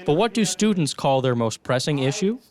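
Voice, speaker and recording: A man's voice: on the high side, big pitch swings, fairly quick, a little mellow. A young American man narrating scripted news reports as a student television reporter.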